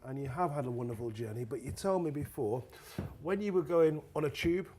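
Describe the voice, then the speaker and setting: A man's voice: fairly high pitch, brisk, a little mellow, slight background noise. A middle-aged man hosting a shopping show interview in a jovial, bantering way. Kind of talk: promotional presenter interview chat.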